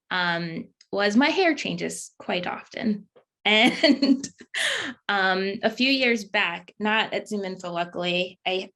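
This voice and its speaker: A woman's voice: medium pitch, a bit bright. A woman speaking as a panelist, telling a story hesitantly with many ums and small laughs. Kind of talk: candid panel talk.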